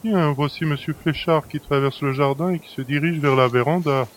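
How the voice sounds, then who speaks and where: A man's voice: fairly high pitch, steady tone, moderate pace, close to an everyday voice. A man playing a cheeky, familiar manservant in an audio drama, chatty and mocking. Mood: self-indulgent. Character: cheeky, familiar manservant who helps himself to master's luxuries. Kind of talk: French audio drama acting.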